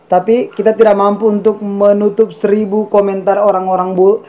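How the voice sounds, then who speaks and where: A man, answering casually as an interviewed YouTuber, plain-spoken and a little halting. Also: high-pitched, level tone, mellow, lo-fi sound. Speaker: YouTuber and musician being interviewed.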